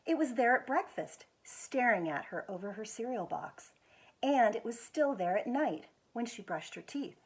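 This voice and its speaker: An American woman with a husky voice reads a children's picture book aloud expressively, stretching words for emphasis. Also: on the high side, rough recording. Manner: expressive, with drawn-out emphasis and quiet asides to show pictures. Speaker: executive director of a schools foundation, guest reader.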